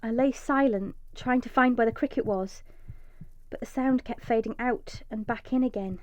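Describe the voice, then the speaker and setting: Woman acting as trapped vengeful mother, high voice, breathy intensity, radio drama monologue, muffled echoey sound. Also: moderate pace, natural voice. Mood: tense, hushed. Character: desperate, vengeful young mother trapped underground, fiercely protective. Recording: echoey, slightly dull recording.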